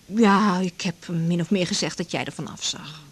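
An actress playing a sympathetic, gently curious colleague with a husky voice in a naturalistic radio drama. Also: female voice, fairly low pitch, moderate pace. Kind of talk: naturalistic 1980s Dutch radio drama acting.

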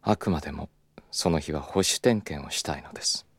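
An actor playing a smooth, persistent, self-assured club executive in a Japanese audio drama, speaking politely and with unhurried insinuation. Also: male voice, rumbling low, dry recording, exaggerated voice. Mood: gracious, condescending. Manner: polite, unhurried, insinuating formal business speech.

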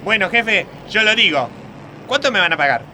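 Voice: medium pitch, animated, normal speed, a little hiss or hum. An adult voicing a cheeky, eager young street newsboy in a comedy radio sketch. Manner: shouting street-vendor cries, haggling.

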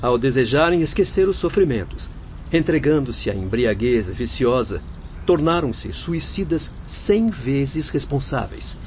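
An actor playing a severe, formal spirit guide in a radio drama, explaining didactically over music. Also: male voice, medium pitch, sing-song, unhurried, darkish tone, a little hiss or hum. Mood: severe, grave. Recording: dull lo-fi audio under music.

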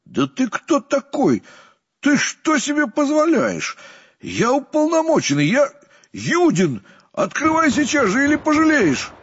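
Man reading as a high, loud, blustering male official, outraged and self-important; husky tone, slightly muffled recording. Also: big pitch swings, measured pace, hardly any pauses, exaggerated voice. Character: indignant pompous Soviet official, middle-aged man.

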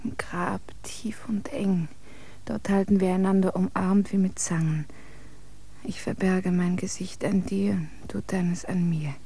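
An actress in a German radio drama playing a devoted, possessive, melancholy young bride with intense theatrical delivery. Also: female voice, lowish voice, fairly even intonation, measured pace, gravelly, warm tone, husky, exaggerated voice. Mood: hushed, morbid longing.

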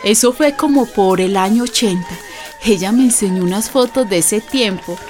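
A woman's voice: medium pitch, normal speed, music in the background, natural voice. An actress playing an earthy, chatty Colombian woman narrating a radio drama, confiding and colloquial as she recalls a friend. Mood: fond, reminiscing.

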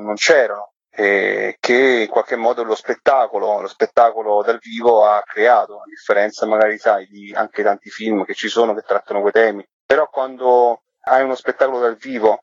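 A man's voice: medium pitch, no room sound. A man speaking as an interviewed theatre author and actor, explaining things in an earnest, reflective way. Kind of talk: interview conversation. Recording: dull radio interview sound.

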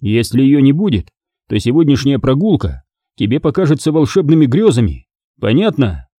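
A man's voice: medium pitch, big pitch swings, moderate pace, warm tone, breathy edge, dry recording, clean studio sound. A man playing a cold-blooded, mocking thug in an audiobook, taunting and domineering as he interrogates.

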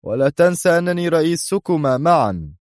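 A man's voice: mid-range voice, quick pace, a little mellow, dry recording, clean studio sound, pitched above the performer's own voice, close to an everyday voice. A man voicing a stern defence minister in an audiobook, clipped and commanding. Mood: stern, sharp. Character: stern, sharp-tongued defence minister accusing a traitor.

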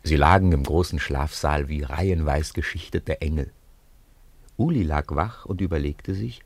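A male narrator with a very deep, husky voice tells the story calmly and evenly. The sound is close and dry. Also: dry recording. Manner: calm, measured storytelling.

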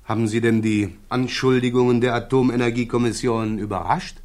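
A man acting as a male prosecutor with a mid-pitched voice in a 1960s German radio drama. He is sceptical and sardonic, pressing his witness with insistent, needling questions. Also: fairly even intonation, a little fast, darkish tone, close to an everyday voice. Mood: cool, insistent.

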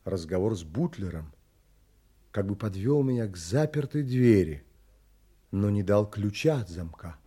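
An actor playing an earnest, reflective gentleman traveler, narrating an audio drama in literary, polite phrasing. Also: on the low side, lively intonation, husky. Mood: reflective, wistful. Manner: literary, measured, polite but firm.

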